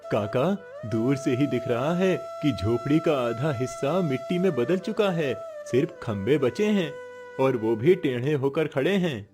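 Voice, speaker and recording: A man's voice: medium pitch, animated, a little slow, over music, close to an everyday voice. A man acting an earnest, helpful young village man in a cartoon moral story, eager and supportive. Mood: concerned.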